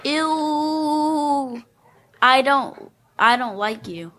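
A woman's voice: sits high, lively intonation, drawn-out words, sharp tone, heavily acted. A woman playing a kind but firmly unimpressed, plain-spoken schoolgirl in an amateur radio play, on a noisy recording. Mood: annoyed. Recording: clearly noisy background ambience.

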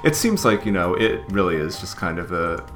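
Very deep-voiced easygoing man. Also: sing-song, fairly quick, fairly bright tone, faint music behind. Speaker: podcast co-host. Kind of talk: casual chat.

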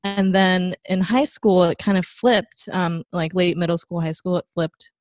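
A young woman from Taiwan speaks in a fairly low voice. She talks in a loose, rambling way, filling gaps with plenty of "like" and "um". The sound is close and dry, with no room echo at all. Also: measured pace. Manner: relaxed, rambling, many fillers. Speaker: guest. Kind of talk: casual conversation.

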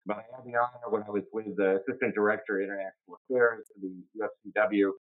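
An older man, a labor lawyer, answering interview questions in a halting way with many restarts and fillers. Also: on the low side, fairly even intonation. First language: English. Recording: tinny phone-like line.

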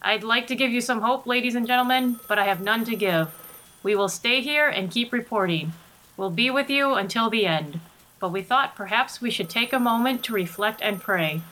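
A woman's voice: fairly high pitch, normal speed, bright, forceful tone, rough recording. A woman playing an earnest, formal news anchor in a 1930s radio drama spoof. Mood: shaken, solemn.

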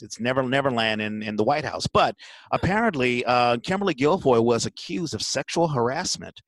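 Male podcast host, middle pitch, very dry sound, loose rambling delivery with stammered asides. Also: animated, fairly quick, no room sound, rough recording. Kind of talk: casual chat.

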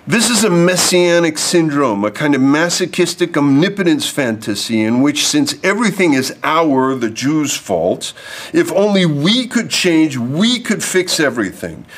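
A man's voice: fairly high pitch, big pitch swings, a little slow. Man reading a formal speech. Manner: reads formal text with off-script asides. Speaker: lecturer. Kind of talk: prepared speech read to an audience.

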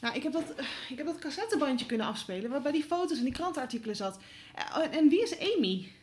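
Young newsroom reporter: somewhat high-pitched, eager, polite, hesitant yet probing, radio drama. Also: female voice, animated, fast, a little mellow. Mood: probing, puzzled. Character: eager, inquisitive young woman reporter, hesitant but persistent. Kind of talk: naturalistic audio drama dialogue.

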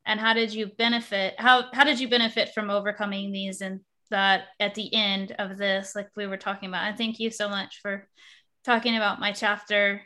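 A woman's voice: mid-range voice, flat delivery, moderate pace, pushed and bright. A young adult woman hosting a podcast interview, talking eagerly in long, rambling sentences with restarts. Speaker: podcast host.

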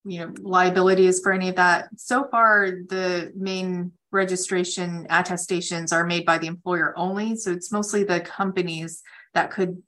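A woman speaking as an attorney, answering callers' questions in a measured, matter-of-fact, explanatory way. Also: fairly low pitch, little pitch movement, bright, forceful tone. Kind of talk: advisory question-and-answer on a conference call.

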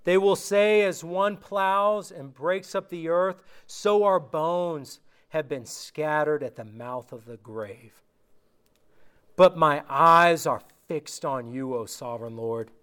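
A man preaching an informal sermon, reading scripture aloud slowly and conversationally, his voice worn from recent illness. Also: on the high side, fairly bright tone, clear, resonant tone. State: recovering from illness, voice going.

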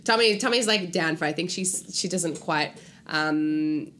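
A woman's voice: fairly low pitch, unhurried, a bit bright. A woman from New Zealand chatting casually as an interview guest, joking and using slang. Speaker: guest author. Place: hotel room. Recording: background noise in room.

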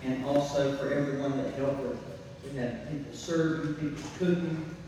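A man's voice: mid-range voice, steady tone, normal speed, dark tone. A man preaching a church sermon as pastor, folksy and emphatic, repeating key phrases, his voice a little weakened by laryngitis. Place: church, speaking to a congregation. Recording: very echoey hall, distant mic, faint noise.

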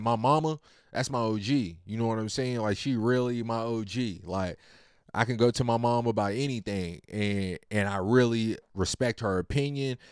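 A man's voice: mid-range voice, a little mellow, no room sound, clean studio sound. A man in his late twenties talking casually and at length about his family, in a rambling, slang-heavy, laid-back way. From Virginia. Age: 20s. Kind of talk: casual personal monologue.

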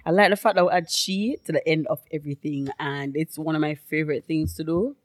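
A woman's voice: low voice, fairly even intonation, brisk, no room sound. A young Jamaican woman co-hosting a podcast, chatting and joking with frequent laughter. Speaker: podcast co-host. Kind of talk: casual chat.

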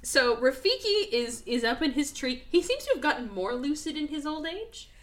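A woman's voice: high voice, very animated, moderate pace. A young woman hosting a podcast, chatting casually about a movie in a profane, filler-heavy way. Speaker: podcast host. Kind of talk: casual movie review chat.

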